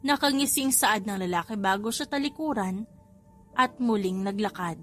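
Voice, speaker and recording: A woman's voice: medium pitch, moderate pace, fairly bright tone, faint music behind. A woman reading a story as an audiobook narrator in scripted narration.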